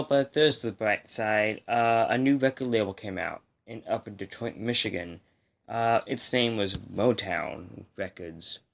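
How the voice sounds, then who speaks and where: Male video host, lower register, slightly hoarse from a cold, informal and rambling explainer delivery. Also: a bit bright. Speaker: host of a music history video series. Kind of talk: casual explainer talk to viewers.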